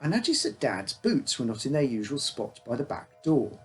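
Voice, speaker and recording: A man narrating a scripted, uncanny first-person story in a measured, expressive storytelling manner. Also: mid-range voice, husky, soft background music. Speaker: author reading own story.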